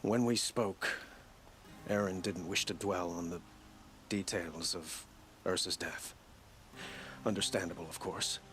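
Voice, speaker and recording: An actor playing a grave, dignified king in a video game, speaking in a measured, formal and courteous way. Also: low-pitched, steady tone, a bit bright, slight background noise. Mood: troubled.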